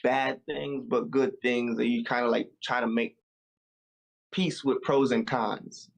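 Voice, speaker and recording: A young man born in Jamaica and raised in America talks over a video call. His voice is gravelly at the edges and sits at an average pitch. He speaks in a loose, laid-back, reflective way. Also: little pitch movement, measured pace. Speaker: musician guest.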